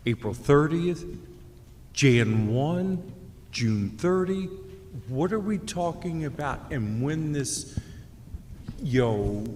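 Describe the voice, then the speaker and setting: An outspoken man with a booming voice, speaking as a resident at a public meeting podium. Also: darkish tone. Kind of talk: public comment. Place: city meeting hall. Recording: echoey hall with faint room noise.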